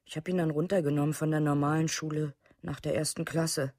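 Gentle grieving mother, dry close sound, subdued, plain-spoken, radio play monologue. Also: low-pitched, level tone, brisk, breathy edge, dry recording. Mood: subdued, sad. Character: Birgit, gentle, sorrowful mother of murdered boy.

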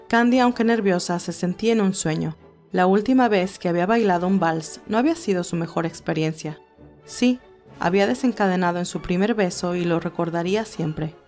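A woman's voice: mid-range voice, darkish tone. A woman reading scripted story narration in Spanish, telling a tale. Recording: music behind the voice. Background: classical music.

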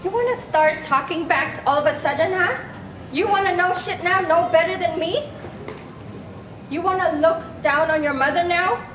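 Lady playing a bitter scolding mom in a play, super high voice, echoey hall. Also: normal speed, a bit bright. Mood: angry, scolding. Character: bitter, reproachful Cambodian immigrant mother. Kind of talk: staged reading of a play. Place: large echoey public hall with glass balcony. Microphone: handheld microphone, heard through room speakers. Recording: echoey hall, noisy room ambience.

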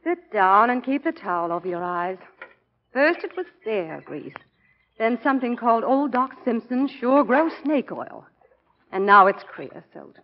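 Wry young wife, muffled old radio. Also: female voice, on the high side, very animated, unhurried. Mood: wry, scolding. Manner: dry, teasing, exasperated. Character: long-suffering, wry young wife and mother. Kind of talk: 1940s radio acting. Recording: muffled 1940 radio broadcast.

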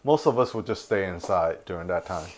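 A man's voice: low voice, lively intonation, fairly quick, a little hiss or hum. A middle-aged American man from New York City chatting casually, slangy and given to repeating himself. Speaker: guest. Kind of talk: casual chat. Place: small home office indoors. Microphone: clip-on lapel mic.

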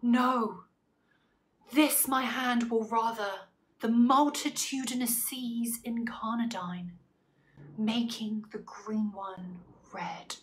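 A woman's voice: on the high side, steady tone, takes it slowly. Anguished, jumpy murderer in a stage tragedy woman performing the male role, declamatory, haunted by guilt. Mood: haunted, hushed. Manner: dramatic, declamatory Shakespearean delivery.

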